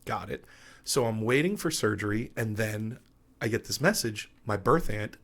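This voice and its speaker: A man's voice: fairly low pitch, normal speed. A man from Long Island, New York, a DJ guest telling personal stories in a casual interview and acting out the lines of people in them. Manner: animated storyteller who acts out quoted dialogue. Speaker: DJ and podcast guest.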